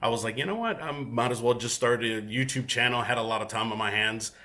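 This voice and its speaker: Bright-voiced man. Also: mid-range voice. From Mexico. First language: Spanish. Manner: easygoing and chatty, with many filler pauses. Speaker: featured guest collector. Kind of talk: casual self-introduction and collection tour. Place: his home collection room.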